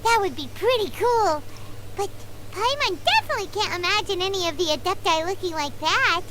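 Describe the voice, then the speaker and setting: A woman voicing a tiny, perky, childlike fairy companion in a video game, with a squeaky voice. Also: lively intonation, a little fast, heavy background noise. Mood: curious. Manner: perky, refers to self in third person. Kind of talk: video game character acting.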